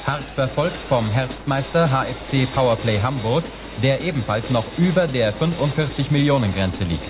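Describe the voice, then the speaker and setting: A man plays a sports announcer in a radio drama. He reads in a formal, factual bulletin style at a medium pitch. Music plays clearly behind the voice. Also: close to an everyday voice. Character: factual male TV sports announcer reading league tables.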